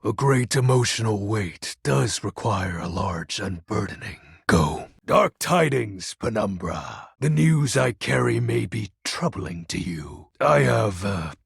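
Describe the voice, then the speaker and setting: Brooding dark lord, grandiose, slow delivery, very raspy, archaic theatrical phrasing, video game villain style. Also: male voice, lowish voice, expressive, no room sound, clean studio sound, exaggerated voice. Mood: solemn. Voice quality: gravelly, husky. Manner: pompous, archaic, melodramatic. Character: grandiose brooding shadow lord, personification of darkness.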